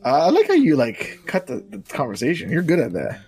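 A man co-hosting a podcast in casual chat, animated, joking and rambling. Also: a little high, a little fast, breathy edge. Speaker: podcast co-host.

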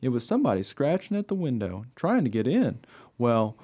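A man's voice: medium pitch, a little fast. A man playing a friendly, chatty young-hearted dog who tells his own life story earnestly in a radio play. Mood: wry, reflective. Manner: earnest, excitable, naive storytelling. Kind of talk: scripted radio play narration.

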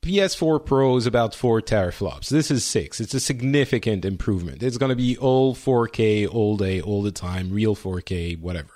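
A man's voice: on the low side, normal speed, hardly any pauses, darkish tone, dry recording. A man from Lebanon co-hosting a casual watch-along with wry, dry jokes. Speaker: co-host commentator. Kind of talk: casual live-watch commentary.

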